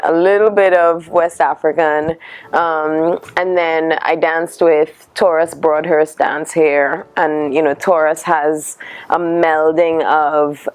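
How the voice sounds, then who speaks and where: A Trinidadian woman in her thirties, a dancer answering interview questions in a thoughtful way, often pausing with fillers. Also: sits low, no room sound. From Trinidad and Tobago. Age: 30s. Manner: thoughtful, lists things with many fillers.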